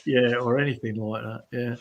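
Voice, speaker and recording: A middle-aged or older man, a musician chatting casually and haltingly as an interview guest. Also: medium pitch, fairly even intonation, vocal fry, highs cut off. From Sheffield, England.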